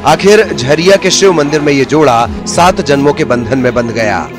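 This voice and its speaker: A man's voice: mid-range voice, normal speed. A man reading scripted news reports as a broadcast voice-over. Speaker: news narrator. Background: background music.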